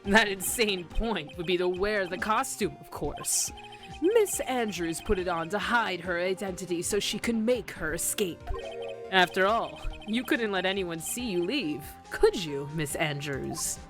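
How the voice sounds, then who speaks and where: A brash young defense lawyer from a game presses his case with confident, accusing lines, read by a woman. Her pitch moves with lively swings. Soft game music sits underneath. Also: a little slow.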